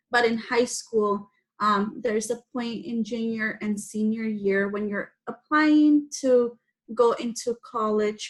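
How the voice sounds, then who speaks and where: A woman from Guatemala whose first language is Spanish, telling her personal story to children in simple, explanatory phrases. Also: a little high. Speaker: guest speaker telling personal story to schoolchildren.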